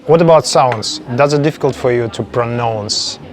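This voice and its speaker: A man hosts the conversation and asks short questions in non-native English. His voice sits at an ordinary pitch, and his speech is simple and direct. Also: moderate pace. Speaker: interviewer. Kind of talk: casual interview.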